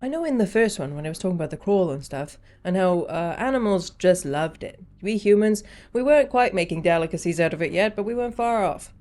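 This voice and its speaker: A woman's voice: lowish voice, animated, brisk, few pauses, darkish tone. A woman reacting casually as a video host, with a playful, jokey, slangy way of talking.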